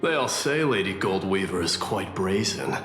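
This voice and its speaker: Casting: haughty battle-loving warrior leader for a game, forceful edge, cavernous reverb over light music. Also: male voice, low-pitched, expressive, moderate pace. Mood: scornful. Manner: haughty, formal, challenging. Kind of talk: video game voice acting. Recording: echoey hall reverb, light music behind.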